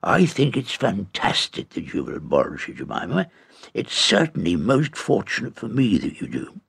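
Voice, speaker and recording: A male reader puts on a stuffy old professor turned into a tiny bug, all bluster and stammer. His voice leaps high and dances around, with a gravelly edge underneath. He is prim, excitable and easily offended, in the manner of a storybook audiobook. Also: moderate pace, exaggerated voice. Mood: excited. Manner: pompous, stammering, indignant. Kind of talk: audiobook character reading.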